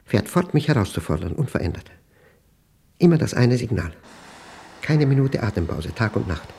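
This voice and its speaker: An actor playing a paranoid, obsessive man recording a spoken diary in a radio play, in clipped, intense fragments with a gravelly, husky voice. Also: mid-range voice, sing-song, moderate pace, warm tone, a little hiss or hum. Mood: agitated, tormented. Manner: clipped, fragmented, intense delivery with emphatic outbursts.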